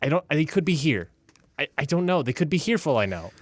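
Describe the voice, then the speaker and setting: A man playing a conflicted adventurer who trusts his gut, speaking haltingly with stammers in a tabletop game. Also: fairly high pitch, very animated, dry recording, pitched above the performer's own voice. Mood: conflicted, pleading. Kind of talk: improvised tabletop roleplay.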